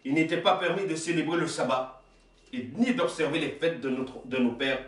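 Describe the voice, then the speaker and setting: A fairly high-voiced man in his forties preaches with emphasis, asking rhetorical questions and correcting himself now and then. His voice rings through a small, echoey room. He sounds a little distant from the microphone. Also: normal speed. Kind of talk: sermon reading and explaining texts. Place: small indoor worship room.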